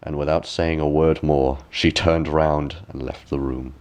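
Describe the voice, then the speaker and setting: Deep-voiced man reading a fairy tale. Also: steady tone, measured pace, a little mellow. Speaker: storyteller, audiobook reader. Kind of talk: scripted bedtime fairy tale narration.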